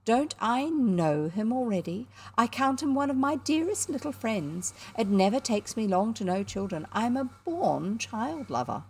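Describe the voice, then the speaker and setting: A woman's voice: a little high, very animated, a little slow, hardly any pauses, husky, voice pushed up, full character voice. A woman voicing a gushing, condescending upper-class lady in a storybook, with a fluting, affected, cooing delivery. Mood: playful, self-satisfied.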